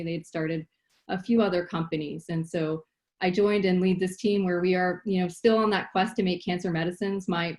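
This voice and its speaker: Woman biotech leader, lowish voice, measured panel talk. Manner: unhurried, sincere, with fillers. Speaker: biotech site lead on a panel.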